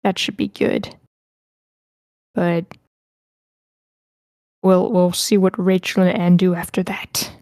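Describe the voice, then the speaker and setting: Mellow-voiced woman hosting casually. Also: fairly low pitch, little pitch movement, takes it slowly, pauses often, no room sound, studio quality. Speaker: host of a live table read. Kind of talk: casual, unscripted hosting talk. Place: online meeting.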